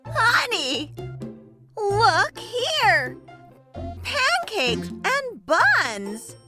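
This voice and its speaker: Woman playing very high-pitched, sweet old wife. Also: wildly swooping pitch, very slow, sharp tone, faint music behind, full character voice. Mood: excited. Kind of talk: children's fairytale cartoon acting.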